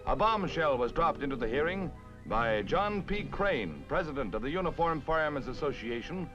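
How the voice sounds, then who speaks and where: Animated 1950s American newsreel announcer, male. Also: on the high side, normal speed. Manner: punchy, dramatic announcer delivery. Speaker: newsreel narrator. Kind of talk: 1950s newsreel scripted narration. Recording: dull vintage newsreel soundtrack with music.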